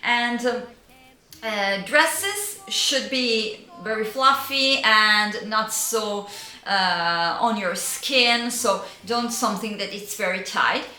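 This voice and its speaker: Slow, hesitant Italian-accented woman. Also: medium pitch, echoey room. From Italy. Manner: hesitant, searching for words, frequent fillers and soft laughs. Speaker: vintage style vlogger and yoga teacher. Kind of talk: casual advice vlog.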